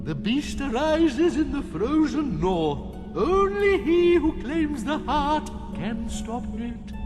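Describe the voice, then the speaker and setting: An otherworldly prophet spirit, spiteful and pompous. The voice is extremely high and swoops dramatically, drawn out slowly as in a fantasy game. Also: male voice, very animated, exaggerated voice. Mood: ominous. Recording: long hall reverb under loud music.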